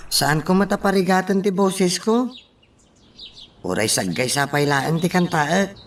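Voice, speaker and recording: A halting, high-voiced meek young man. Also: expressive, recorded from a distance, slight background noise. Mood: hesitant. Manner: halting, stammering, unsure. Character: hesitant, meek young man, eager to please his mother. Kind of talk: radio drama acting.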